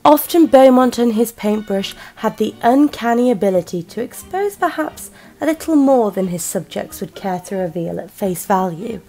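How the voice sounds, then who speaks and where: A woman playing a wry, observant detective narrating a radio crime drama in measured tones. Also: medium pitch, lively intonation, dark tone, soft background music, lightly acted. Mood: wry, reflective.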